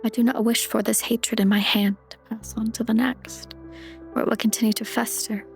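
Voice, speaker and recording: A woman playing a gentle, sorrowful idealist queen in a fantasy game dub, her voice soft, breathy and measured. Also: fairly high pitch, little pitch movement, soft background music. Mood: quiet resolve. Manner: measured, subdued, solemn.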